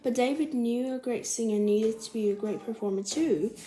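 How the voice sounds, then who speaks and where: A woman reading a children's biography aloud like a storybook, carefully and a little haltingly. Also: a little high, mellow. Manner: careful, halting reading with stumbles and self-corrections. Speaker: storybook reader.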